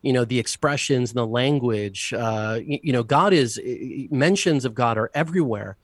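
A man from the United States talks through his life story at a reflective, unhurried pace. His voice is middle-pitched, and his speech is full of small fillers. The sound is dry, with no room around it. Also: no echo. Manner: thoughtful, unhurried, with frequent fillers and restarts. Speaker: interview guest, deacon.

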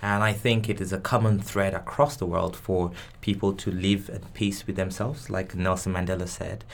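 Low-voiced man with Yoruba roots, halting answers. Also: little pitch movement, vocal fry. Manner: thoughtful, with frequent restarts and repeated words. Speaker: guest expert. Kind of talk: interview answers.

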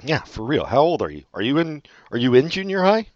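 A man's voice: fairly high pitch, big pitch swings, a little fast, no room sound, highs cut off. A man co-hosting a casual chat, reading advice letters and making sarcastic, profane jokes. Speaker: podcast co-host.

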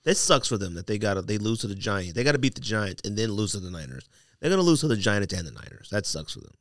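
A man's voice: on the low side, big pitch swings, quick pace, dry recording, studio quality. A man chatting casually as a podcast panelist, trading sports opinions and picks.

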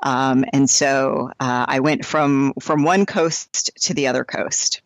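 A woman's voice: extremely low pitch, fairly even intonation, slow pace, dry recording. A woman in her forties from New Hampshire with an American accent, speaking as a guest in a casual, enthusiastic and emphatic conversational style. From New Hampshire, United States. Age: 40s. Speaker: podcast guest, amateur runner.

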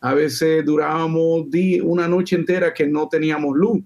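A man with a slightly high voice, speaking calmly and agreeably as he recalls a memory. His delivery is relaxed and conversational. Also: normal speed. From Dominican Republic. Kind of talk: casual chat.

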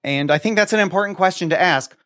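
A man's voice: sits high, fast, a bit bright, no echo, studio quality. A man co-hosting a podcast, discussing a listener question in a conversational way. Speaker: podcast co-host.

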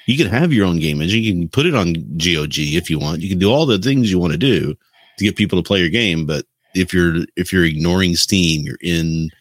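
Deep-voiced man chatting casually. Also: lively intonation, fairly quick, no room sound. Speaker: podcast co-host. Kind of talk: casual chat, sharing personal opinions.

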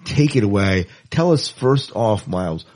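Low-voiced man chatting as podcast host. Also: lively intonation, a little slow, darkish tone. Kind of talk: casual podcast chat.

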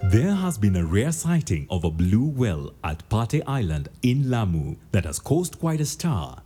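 A man's voice: fairly low pitch, very animated, dark tone, faint music behind. A man reading a formal news bulletin as a newsreader in a radio play. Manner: formal, measured.

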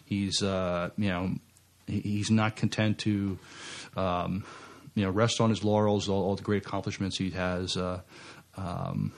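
A man co-hosting a podcast, reading out entertainment news and chatting, with a halting, stumbling delivery. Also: sits low, level tone, creaky. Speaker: podcast co-host.

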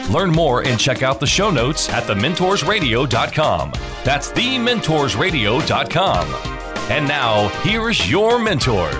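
A male announcer reads a scripted show intro with a lively, swooping voice. An instrumental music bed plays clearly underneath him. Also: mid-range voice.

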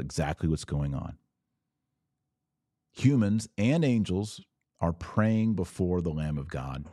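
A man's voice: deep voice, darkish tone, no echo, clean studio sound. An American man hosting a podcast, explaining religion informally and emphatically, posing rhetorical questions and answering them himself. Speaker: podcast host answering viewer comments.

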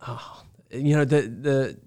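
A man's voice: medium pitch, slow, mellow. A man hosting an interview, asking friendly, curious questions in a casual conversation. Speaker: host.

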